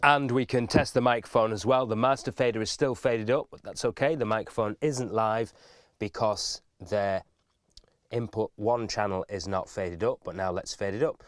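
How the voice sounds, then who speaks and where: A man's voice: fairly low pitch, moderate pace, no room sound, soft background music. A man giving an informal step-by-step video tutorial in a methodical, instructive manner.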